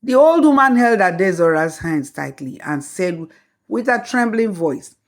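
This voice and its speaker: A woman telling a folktale as scripted storybook narration, in a slow, measured way. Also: mid-range voice, animated.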